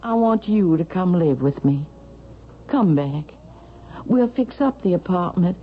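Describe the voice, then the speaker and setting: Casting: a haughty elderly Southern matriarch, low voice, sharp and demanding, old radio drama on a dull tape. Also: female voice, lively intonation, measured pace. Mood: pleading, insistent. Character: proud, imperious, suspicious elderly Southern mother, wealthy widow. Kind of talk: vintage radio drama acting. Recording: dull vintage radio broadcast, faint noise.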